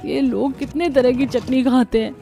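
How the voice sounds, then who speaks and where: A woman voicing a young, put-upon, complaining daughter-in-law in a Hindi animated family story. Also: high-pitched, moderate pace, creaky, soft background music.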